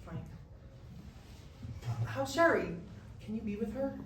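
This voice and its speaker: A young woman playing an anxious, stressed male college student in a staged musical reading, speaking haltingly. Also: a little high, animated. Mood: hesitant, probing. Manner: hesitant, halting with ums. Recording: very echoey, noisy room from far off.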